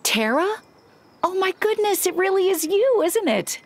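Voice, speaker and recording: A woman's voice: extremely high pitch, sing-song, unhurried, heavily acted. An actress playing a friendly, helpful townswoman in a video game. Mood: welcoming. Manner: helpful, welcoming. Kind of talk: animated video game voice acting.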